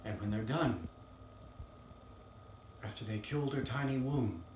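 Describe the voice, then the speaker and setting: Male actor as earnest young trial lawyer addressing a jury; low-pitched, solemn, halting stage monologue, roomy sound. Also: animated, a little mellow. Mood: grave, hushed. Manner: solemn, halting, with weighty pauses. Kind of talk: dramatic courtroom monologue performance. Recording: roomy, distant mic, faint noise.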